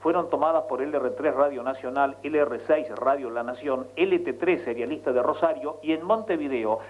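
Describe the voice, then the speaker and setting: A man's voice: mid-range voice, normal speed. A man with a Rioplatense Spanish accent telling radio anecdotes as an announcer on a live link. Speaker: radio announcer and guest correspondent. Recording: muffled, noisy live radio link with hum.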